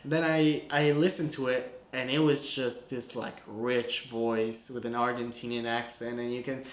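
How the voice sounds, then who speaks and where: A young man talks through his filmmaking in a loose, chatty way, leaning on "like" and "you know". His voice sits at an ordinary middle pitch for a man. The sound carries a roomy echo. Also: level tone, normal speed. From Guatemala. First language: Spanish. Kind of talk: casual breakdown of his short film. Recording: echoey room.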